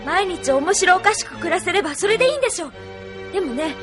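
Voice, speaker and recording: Headstrong young resistance heroine, very high, earnest, insistent, anime audio drama, music behind. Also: female voice, lively intonation, fast. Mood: indignant.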